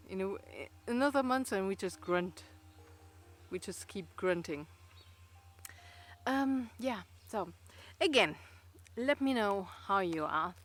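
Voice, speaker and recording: A woman from Luxembourg with a Luxembourgish accent, whose first language is Luxembourgish, chatting casually to camera in a rambling, hesitant way with many fillers and restarts. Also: mid-range voice. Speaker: vlogger.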